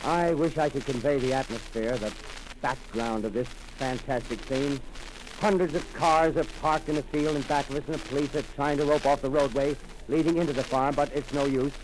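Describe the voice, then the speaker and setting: A man's voice: medium pitch, steady tone, lightly acted. A man playing an eager radio field reporter. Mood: eager, breathless. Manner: eager, halting live description with many fillers. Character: excited male radio field reporter at a crash site. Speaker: radio commentator. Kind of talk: 1930s radio drama acting. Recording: noisy old radio broadcast recording.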